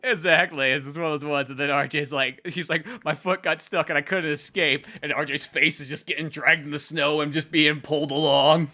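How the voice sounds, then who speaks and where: A young adult man co-hosting a podcast, giving casual, animated commentary on a comic, with slang, swearing, acted-out lines and frequent laughter. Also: fairly high pitch, normal speed, few pauses. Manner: laughs often, acts out lines and sound effects; slangy and profane. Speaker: podcast co-host and comic creator.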